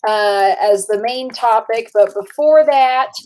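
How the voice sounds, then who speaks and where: Higher-voiced woman hosting a chatty podcast. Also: slow pace, a little mellow, rough recording. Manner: chatty, laughs often, frequent "um" fillers. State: a little tired. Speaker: podcast host. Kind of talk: casual chat.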